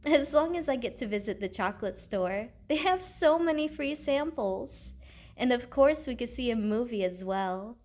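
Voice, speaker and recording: A woman's voice: on the high side, lively intonation, normal speed. A woman playing a sweet, devoted young girlfriend in an intimate audio roleplay, tender, earnest and a little self-conscious as she speaks to the listener. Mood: dreamy, encouraging. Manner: tender, gentle, affectionate.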